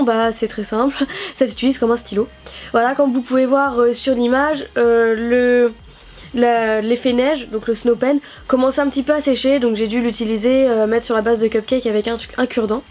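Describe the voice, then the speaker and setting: A woman's voice: sits high, a little mellow. An 18-year-old woman from France doing a casual craft product review, sounding nasal from a cold and chatting with lots of fillers and repeated words. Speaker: craft YouTuber.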